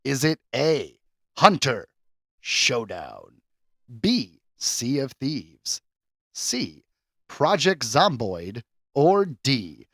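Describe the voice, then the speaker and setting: A man's voice: mid-range voice, sing-song, drawn-out words, vocal fry, long pauses, fairly bright tone, no room sound, studio quality. A man hosting a pop culture quiz on a podcast in a casual, chatty style, announcing questions and verdicts theatrically. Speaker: podcast host and quizmaster.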